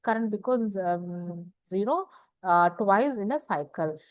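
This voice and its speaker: Woman lecturing, halting and dry-sounding. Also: medium pitch, lively intonation, no room sound. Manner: halting, repetitive, asks and answers own questions. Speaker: engineering lecturer. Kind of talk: slide lecture explaining technical material.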